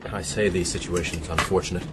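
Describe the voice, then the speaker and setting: An actor playing a bookish gentleman critic turned ship's mate in a radio drama, refined, formal and earnest. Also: low voice, steady tone, a little fast, background noise, lightly acted. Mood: dry, guarded.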